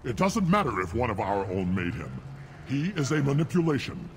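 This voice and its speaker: A man's voice: mid-range voice, sing-song, normal speed, slight background noise. A man playing a proud, hostile alien warrior in a video game, scornful and combative in a declamatory way. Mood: scornful, defiant. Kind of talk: video game cutscene acting.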